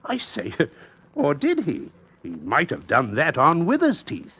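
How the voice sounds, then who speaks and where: A man playing a cool, sardonic captain in a radio mystery play, speaking in a dry, needling, deliberate way. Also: on the high side, huge pitch swings, a bit bright, husky, full character voice. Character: cool, sardonic sea captain turning tables on accusers.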